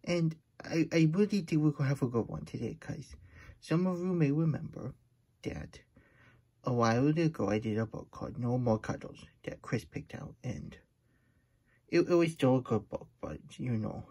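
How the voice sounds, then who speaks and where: Puppet story-time host: childlike, grumbly hedgehog played by a man, husky and mellow, stammering, fretful asides. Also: mid-range voice, expressive, moderate pace. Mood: chatty. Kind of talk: puppet read-aloud with chatty asides.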